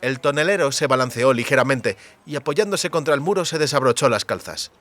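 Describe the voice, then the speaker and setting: A man's voice: mid-range voice, fairly quick, fairly bright tone. A man narrating a fantasy novel as a scripted literary audiobook.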